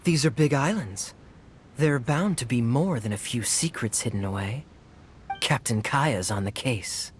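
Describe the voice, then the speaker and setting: A man's voice: medium pitch, expressive, measured pace, slight background noise, natural voice. Man voicing a suave, swooping rogue captain. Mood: playful, sly. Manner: smug, playful, theatrical. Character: suave, teasing young male rogue captain. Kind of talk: anime-style video game voice acting.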